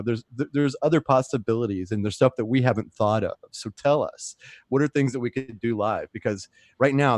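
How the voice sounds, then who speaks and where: A man's voice: mid-range voice, a little mellow, dry recording. An American man co-hosting a livestream in a chatty, informal way, sometimes stumbling over the starts of words. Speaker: co-host. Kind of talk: casual livestream chat. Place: at home on a multistream video call.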